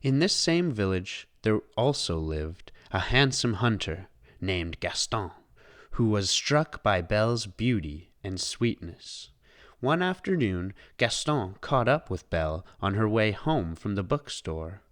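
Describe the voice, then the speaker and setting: A man reading a children's storybook aloud as scripted, expressive narration. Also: lowish voice, takes it slowly.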